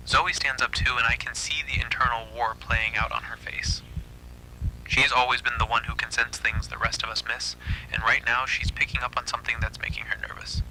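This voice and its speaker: A man narrating a scripted first-person horror story in a hushed, breathy, suspenseful way. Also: medium pitch, a little hiss or hum, poor audio.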